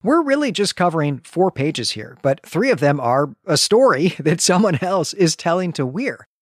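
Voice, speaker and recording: A man's voice: a little high, big pitch swings, normal speed, no echo, studio quality. A man co-hosting a literary podcast, explaining the book in a thoughtful, casual way with small laughs. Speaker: podcast co-host.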